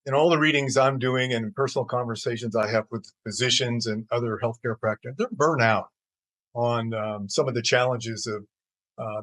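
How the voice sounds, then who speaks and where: An older American man speaks as an expert guest in an interview, with a medium-pitched voice. His delivery is measured and explanatory, with some hesitant phrasing, and the sound is dry. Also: a bit bright, dry recording, poor audio. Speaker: interview guest, healthcare executive. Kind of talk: conversational interview answers.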